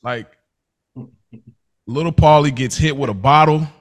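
A man's voice: on the high side, measured pace. A man hosting a podcast, casually recapping a TV show in slangy, informal storytelling. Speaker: podcast host.

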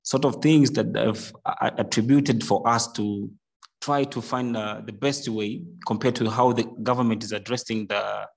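Low-voiced man. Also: steady tone, creaky, rough recording. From Tanzania. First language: Swahili. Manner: halting, searching for words. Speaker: guest, charity team member. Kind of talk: interview answer.

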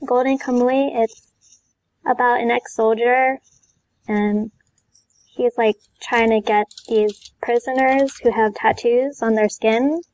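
A woman's voice: on the high side, steady tone, slow, darkish tone. A woman in a casual chat as a podcast guest, speaking in a hesitant, tentative way. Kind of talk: casual chat about anime and manga.

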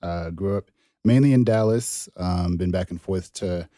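A young man from Zimbabwe co-hosting a podcast, talking in a relaxed, rambling way and laughing easily. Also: deep voice, unhurried, dry recording, clean studio sound. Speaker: podcast co-host. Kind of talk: casual chat.

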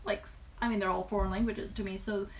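A woman's voice: mid-range voice, steady tone, quick pace, a little hiss or hum. A woman hosting a casual podcast, rambling expressively and acting out her own reactions. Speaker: podcast host. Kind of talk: casual chat.

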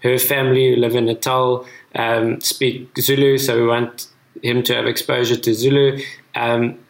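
Casting: thoughtful Afrikaans-speaking man in his thirties, mid-pitched, reflective, recorded in a roomy space. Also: level tone, normal speed. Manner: earnest, thoughtful, frequent restarts. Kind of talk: reflective casual discussion.

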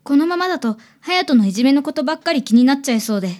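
A woman acts a young female student client in a radio drama. Her voice is high. She is polite and sincere, a caring big sister who sounds worried. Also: lively intonation, rapid-fire, darkish tone. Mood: anxious.

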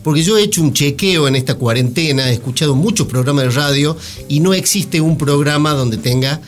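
A man with an Argentine accent presenting a radio segment, chatting in a halting, mock-solemn comic way. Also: on the high side, soft background music.

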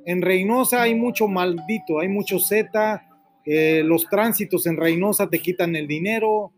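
High-voiced Mexican man hosting live radio. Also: a little slow, faint music behind. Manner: earnest, rambling and repetitive. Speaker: radio host. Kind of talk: live radio call-in talk.

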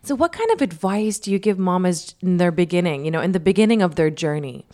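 A woman's voice: lowish voice, lively intonation, fast talker, mellow. A woman co-hosting a podcast, effusive and upbeat as she addresses listeners and guests. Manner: effusive, enthusiastic. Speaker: podcast co-host.

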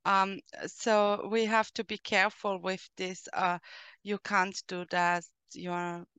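Slow, measured Austrian-accented woman. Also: medium pitch, little pitch movement, a bit bright, clean tone, dry recording. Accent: Austrian German. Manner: hesitant, many "uh" fillers, measured and explanatory. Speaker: guest, coach who helps children and adults. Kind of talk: interview answers.

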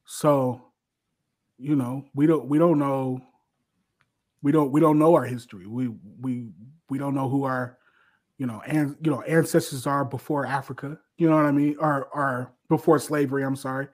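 A man's voice: mid-range voice, steady tone, moderate pace, a little mellow. An American man talking casually as a guest, repeating phrases as he thinks aloud. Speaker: guest on a livestream. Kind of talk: casual, conversational reflection.

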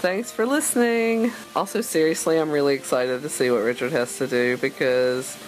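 A woman's voice: low voice, moderate pace, poor audio. A woman co-hosting a podcast in casual, chatty banter. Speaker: podcast co-host.

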